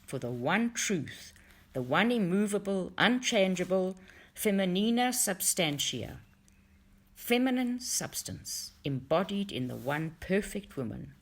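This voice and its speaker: A woman reading a book aloud in an audiobook style, with measured, deliberate phrasing. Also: mid-range voice, sing-song, breathy edge. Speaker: reader for a book study group.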